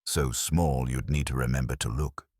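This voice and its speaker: A man narrating a scripted first-person detective story in a dry, wry, measured way. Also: a bass voice, steady tone, a little mellow, no room sound. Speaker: audiobook narrator.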